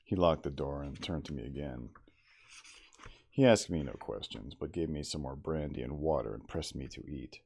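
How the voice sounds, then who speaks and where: A man reading a classic novel aloud as ASMR, in a soft, intimate, slow dramatic storytelling manner. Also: a bass voice, animated, creaky. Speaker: audiobook reader in character as the narrator.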